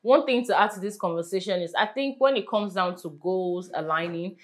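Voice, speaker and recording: A young woman with a Nigerian accent chatting casually and emphatically, telling stories in an animated way. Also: fairly low pitch, fairly quick. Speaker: panelist on a talk show. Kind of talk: casual group chat. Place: small hair salon, indoors.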